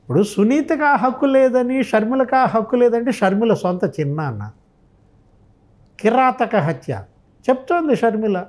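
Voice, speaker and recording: A man's voice: very high-pitched, animated, moderate pace, a little mellow. A man giving impassioned political commentary, emphatic and full of rhetorical questions. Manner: emphatic, rhetorical questioning, re-enacting others' remarks.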